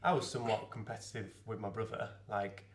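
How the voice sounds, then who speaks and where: A man's voice: lowish voice, steady tone, brisk, creaky. A man hosting a casual interview, asking questions in an easygoing, chatty way.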